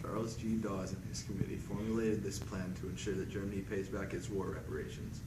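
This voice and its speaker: A man's voice: low voice, level tone, brisk. A man reading scripted news as the co-anchor of an amateur newscast. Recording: echoey room, distant mic, noisy.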